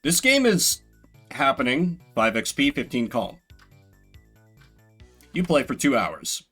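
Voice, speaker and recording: Theatrical, bright-voiced man streaming a game. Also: mid-range voice, huge pitch swings, takes it slowly, soft background music. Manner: theatrical, sardonic, rambling asides. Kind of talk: solo-voiced let's play commentary, reading game text aloud dramatically.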